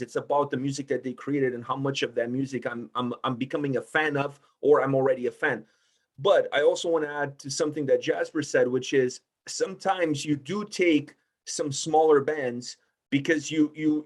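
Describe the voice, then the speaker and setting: A man talking casually in a roundtable discussion, blunt and emphatic, swearing freely. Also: fairly high pitch. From Portugal. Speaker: music interviewer with own video channel.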